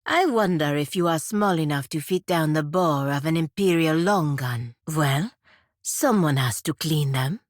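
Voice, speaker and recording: A woman playing a proud, stern, principled warrior in a video game, with formal, measured phrasing and a dryly sardonic edge. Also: low-pitched, expressive, no room sound, clean studio sound. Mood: dry, disdainful. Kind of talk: video game character dialogue.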